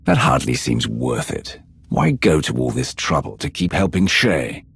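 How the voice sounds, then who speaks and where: Grim game commander: extremely low, rasping voice, terse, controlled authority. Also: male voice, sing-song, unhurried, fairly bright tone, heavily acted. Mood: cool, probing. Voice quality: gravelly. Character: commanding, controlled dark warrior, grimly pragmatic. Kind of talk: video game voice acting.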